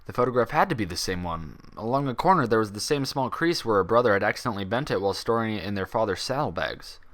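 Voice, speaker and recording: A man reading fan fiction as scripted story narration, with expressive, dramatic delivery. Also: on the low side, fast talker.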